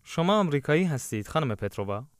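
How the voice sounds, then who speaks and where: A man narrating a language lesson, giving clear, instructive prompts in a scripted style. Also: on the high side, sing-song, rapid-fire, no room sound, clean studio sound.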